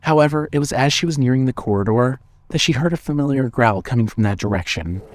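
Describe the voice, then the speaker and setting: Male narrator, horror audio fiction, dramatic scripted reading, middle pitch. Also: fast.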